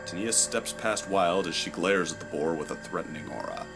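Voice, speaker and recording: A man's voice: low voice, normal speed, over music. A man reading scripted, dramatic third-person story narration for a fantasy audio drama.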